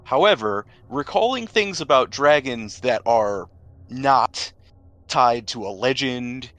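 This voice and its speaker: A man narrating a tabletop role-playing game as game master, with deliberate, descriptive storytelling and pauses. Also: mid-range voice, lively intonation, a bit bright, dry recording.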